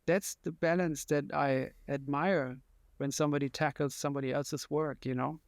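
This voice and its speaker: Male interview host, light higher voice, eager and admiring, dry close sound. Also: steady tone, normal speed, no room sound. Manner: enthusiastic, admiring, talks through his thoughts. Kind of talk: casual conversation.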